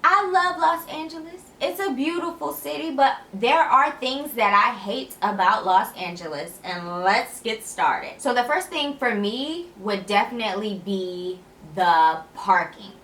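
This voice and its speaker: Bright, energetic woman vlogger, tired. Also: on the high side, a little slow, few pauses. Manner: energetic, chatty, laughs often, sassy asides. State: tired, slightly raspy voice late at night. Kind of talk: casual chat to camera. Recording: echoey room.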